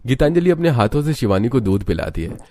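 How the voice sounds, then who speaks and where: A man's voice: mid-range voice, sing-song, fast, mellow. A man narrating a scripted story in the style of a storybook.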